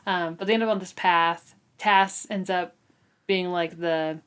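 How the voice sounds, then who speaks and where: A woman's voice: on the low side, fairly even intonation, unhurried, fairly bright tone, clean tone. A woman in her thirties hosting a book discussion podcast in a casual, conversational way, often dropping in "like". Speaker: book club podcast host.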